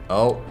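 A young man giving casual, slangy, teasing reaction commentary on a game. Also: mid-range voice. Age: young adult. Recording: film music behind.

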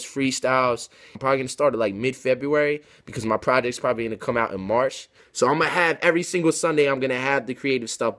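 A man in his twenties talks off the cuff in a home video blog. His delivery is slangy and emphatic, wandering from thought to thought at an ordinary mid-range pitch, picked up by a laptop microphone in a room at home. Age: 20s. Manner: slangy, emphatic, rambling street-style talk. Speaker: rapper and video blogger. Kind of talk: casual vlog talk to camera. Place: home room with bookshelves, indoors. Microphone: laptop webcam mic at arm's length.

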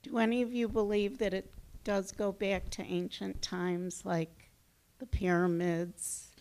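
A woman moderating a panel, putting a question to the speakers slowly and deliberately. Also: mid-range voice, level tone, a little mellow, poor audio.

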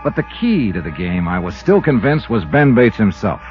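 A man's voice: on the low side, sing-song, moderate pace. An American man acting as a dogged, skeptical insurance investigator in a 1950s radio drama, with a clipped, hard-boiled delivery. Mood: brisk, wry. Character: dogged, skeptical male insurance investigator narrating his case. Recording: muffled 1950s radio recording with music.

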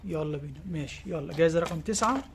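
Fast-talking Egyptian man in a shop. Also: a little high, creaky, slight background noise. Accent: Egyptian Arabic. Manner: quick, repeats "tamam?" to check in, coaxing children along. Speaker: fishing tackle shop owner. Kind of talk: casual shop video presenting giveaway prizes. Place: indoor fishing tackle shop.

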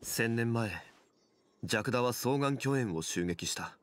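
An actor playing a calm, dignified ancient god in human form in a video game, speaking in a grave, formal, measured manner. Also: male voice, mid-range voice, lightly acted. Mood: solemn, reflective. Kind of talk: video game character dialogue.

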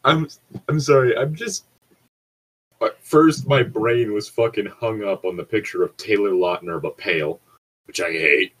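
A man's voice: lowish voice, measured pace, breathy edge, poor audio. A man bantering casually and reading aloud as a show host, with a sweary, exasperated manner.

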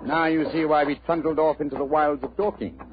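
Noisy old radio, guy playing a brisk superior british detective, highish lively voice. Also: dull audio, natural voice. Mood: amused, assured. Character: brisk, confident English gentleman detective. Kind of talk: 1940s radio acting.

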